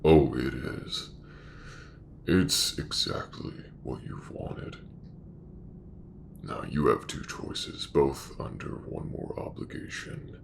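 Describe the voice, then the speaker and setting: A man playing a calm, shrewd, commanding ship captain in a sci-fi audio roleplay, with a very gravelly voice and measured, businesslike delivery. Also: very deep voice, slight background noise, full character voice.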